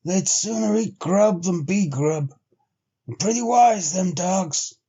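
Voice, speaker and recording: A man's voice: high-pitched, animated, slow pace, vocal fry, dark tone, slightly rough, highs cut off, voice pushed up, heavily acted. A man voicing a stolid, sceptical sled-dog driver in a storybook, with a drawling, rustic old-time way of talking. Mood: dry, unbothered. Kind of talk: storybook character voice.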